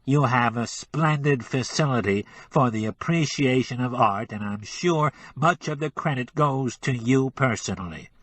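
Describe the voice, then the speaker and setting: A glib, overly polite gentleman speaks through a pinched adenoidal twang, held slightly back from the mic, as a man puts him on. The voice is husky underneath. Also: mid-range voice, a little slow, few pauses, no echo, distant mic, natural voice. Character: nasal fake gentleman from out of town.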